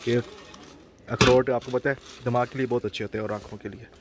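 A man's voice: mid-range voice, steady tone, fast talker. A man, walking outdoors and chatting casually as he points out shops and food. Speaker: travel vlogger. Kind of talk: casual walking tour vlog. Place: busy hill-town street outdoors. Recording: crowd noise around the speaker. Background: crowd of passers-by.

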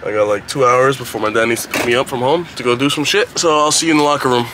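A man's voice: mid-range voice, steady tone, fast. A twenty-year-old American man vlogging casually, with slangy, crude, teasing talk and plenty of swearing. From New Jersey, United States. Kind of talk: casual vlog chat to camera, heavy swearing. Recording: noisy handheld vlog audio.

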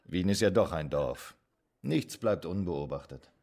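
Deep, gravelly psychoanalyst. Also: male voice, lively intonation, measured pace, darkish tone, full character voice. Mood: teasing. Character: composed, gently teasing male Viennese psychoanalyst. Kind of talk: German audio drama acting.

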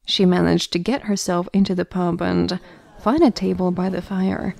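A woman's voice: lowish voice, fairly even intonation, moderate pace, gravelly, a little mellow. A woman narrating a scripted story for an audio drama.

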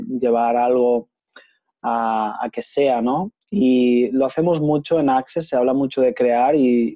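A man in his thirties hosting a casual interview over a video call, speaking in a relaxed, thoughtful way with many hesitations. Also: mid-range voice. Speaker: host and certified facilitator. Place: small room at home. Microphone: earphone headset on video call. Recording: dull lo-fi video call audio.

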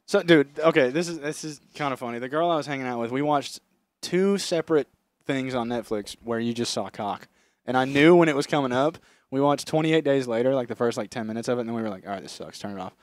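A man's voice: medium pitch, fast, no room sound. Young man chatting in a dry-sounding studio. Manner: crude, swearing anecdote-teller. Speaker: podcast co-host. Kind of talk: casual comedic podcast chat.

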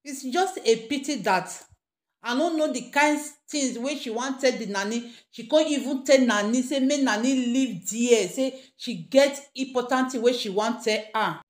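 A woman's voice: on the high side, normal speed. A woman with a Nigerian accent giving informal gossip commentary, addressing her listeners directly and emphatically. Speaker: gossip vlogger. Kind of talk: informal news commentary in Pidgin. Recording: roomy, echoing room sound.

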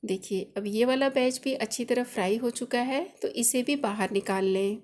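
A woman's voice: a little high, normal speed, darkish tone. A woman hosting a cooking channel, explaining each step of a recipe in a chatty, instructive voice-over. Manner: friendly instructive, chatty home-cook explaining.

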